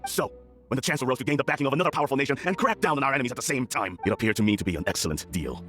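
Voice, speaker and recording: A man's voice: mid-range voice, expressive, very fast, fairly bright tone, faint music behind, natural voice. An actor playing a proud, scheming middle-aged male clan lord in a video game, pompous and theatrical. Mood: smug, scheming. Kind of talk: dramatic video game acting.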